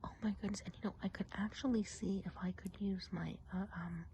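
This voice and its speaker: A woman voicing a chatty woodland witch in an ASMR roleplay, whispering softly and breathily in a gossipy, confiding way. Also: medium pitch, fairly even intonation, fairly quick, gravelly, a little hiss or hum, natural voice. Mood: dreamy, musing. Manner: gossipy, confiding, hesitant casual phrasing. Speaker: ASMR performer.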